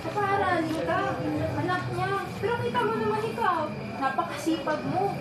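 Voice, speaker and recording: A woman's voice: very high-pitched, moderate pace, over a noisy crowd. A woman playing a supportive, outspoken, motherly friend in a radio drama, chatty and scolding with sympathy. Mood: sympathetic, exasperated. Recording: echoey, distant, with loud background noise.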